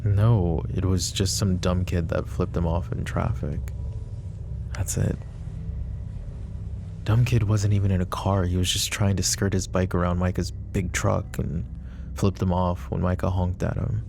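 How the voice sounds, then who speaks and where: A man playing a possessive, protective mafia boss in an ASMR roleplay drama, with a deep, gravelly voice and a low, measured, quietly menacing way of talking. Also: little pitch movement, noisy background. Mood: bitter.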